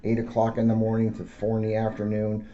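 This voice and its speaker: Dark, mellow-voiced American man, muffled recording. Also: on the low side, monotone, fairly quick. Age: middle-aged. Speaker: school resource police officer reading a children's book. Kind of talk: read-aloud and informal talk to schoolchildren. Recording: dull lo-fi audio with faint noise.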